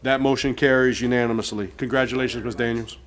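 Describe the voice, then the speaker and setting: A man's voice: mid-range voice, steady tone, fast talker, a little hiss or hum. A man chairing a council meeting in formal parliamentary procedure, speaking into a meeting microphone. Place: public meeting room.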